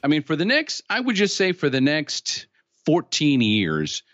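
A man's voice: a little high, a little slow, no room sound. A middle-aged man from California, a podcast guest, telling his own story frankly and with lots of feeling. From California, United States. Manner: animated, frank, laughs easily. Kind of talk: candid conversational storytelling. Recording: dull lo-fi sound.

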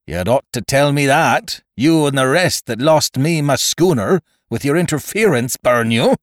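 A man voicing a cunning, domineering pirate sea-cook in an audiobook, with rough sailor dialect and a forceful, taunting manner. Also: fairly low pitch, big pitch swings, slow pace, no echo, studio quality. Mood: angry, defiant. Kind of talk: audiobook character voice.

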